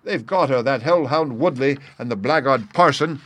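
A man voicing a frantic shouting young stranger. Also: medium pitch, fairly quick, dry recording, pitched above the performer's own voice, natural voice. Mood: desperate. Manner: shouting, desperate outbursts. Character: frantic bearded young man, desperate and fierce.

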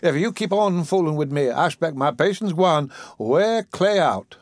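A man voicing a bossy, motherly old Southern woman with a drawling accent, speaking in a high put-on voice. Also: expressive, dry recording, clean studio sound, lightly acted. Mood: scolding, motherly. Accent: American Southern. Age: older. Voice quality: high put-on voice, drawn-out dialect speech.

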